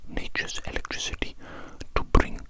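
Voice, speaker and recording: An ASMR performer playing a chaotic evil doctor in a breathy whisper, fussing dotingly and repeating words and phrases. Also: moderate pace.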